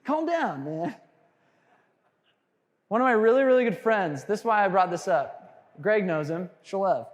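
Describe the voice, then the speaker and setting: A man with a high voice works a crowd at a sales seminar, joking and asking questions. His voice carries a long reverb, as if he is speaking in a big hall. He sounds punchy and animated. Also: measured pace, pauses often. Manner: animated, jokey, quizzes the crowd. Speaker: sales trainer speaking to a room. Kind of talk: energetic seminar talk to a live audience.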